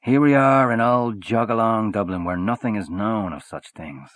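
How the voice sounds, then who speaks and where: A man voicing a boastful, worldly man who talks in a loud, hearty, swaggering way. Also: fairly low pitch, normal speed, no room sound, lightly acted. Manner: expansive, swaggering, back-slapping.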